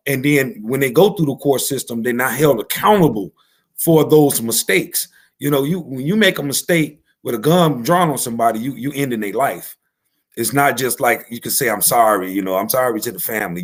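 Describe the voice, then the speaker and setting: A man hosting a casual panel discussion on a video call, talking in halting, rambling runs full of fillers. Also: mid-range voice. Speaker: panel host.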